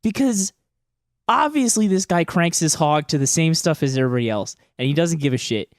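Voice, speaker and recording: A man's voice: on the high side, lively intonation, brisk, dry recording, studio quality. A man co-hosting a podcast with casual comedic commentary, ranting in a sarcastic, sweary way. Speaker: podcast co-host.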